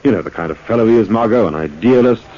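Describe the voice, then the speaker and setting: An actor playing a composed, shrewd man-about-town sleuth in a 1940s radio drama, with a measured, dry, educated delivery. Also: on the low side, animated, dark tone, lightly acted. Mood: concerned, reflective. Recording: muffled, hissy 1940s radio recording.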